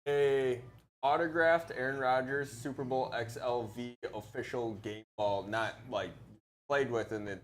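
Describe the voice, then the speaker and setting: A man commentating on a live game in casual, excitable, sweary sports banter. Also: medium pitch, a little slow, faint music behind.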